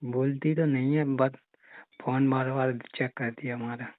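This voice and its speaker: Guy playing flirty jealous brother in law, kinda monotone, secret phone chat, thin phone audio. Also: medium pitch, unhurried, dry recording, natural voice. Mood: secretive, casual. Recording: tinny narrow phone-call audio.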